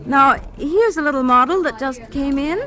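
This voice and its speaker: A woman's voice: high voice, moderate pace, bright, forceful tone. An actress playing a polite, helpful dress-shop saleswoman in a 1940s radio drama. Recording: old radio recording with music behind.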